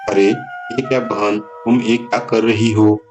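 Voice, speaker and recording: A man's voice: on the low side, flat delivery, slow, lo-fi sound, music in the background. A man voicing a haughty, overbearing rich brother in a cartoon moral story. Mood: puzzled. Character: haughty rich brother, scornful of his poor sister.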